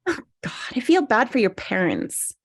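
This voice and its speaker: A woman's voice: on the high side, big pitch swings, moderate pace, creaky, husky, no echo, clean studio sound, exaggerated voice. A woman playing a bitter, awkward, sarcastic single woman down on her luck at a comedy table read. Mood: petty, combative.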